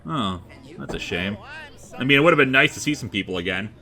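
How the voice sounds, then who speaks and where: Very bright, wry, animated man. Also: on the low side, brisk. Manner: wry, sarcastic, conversational. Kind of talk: scripted comedic web drama acting.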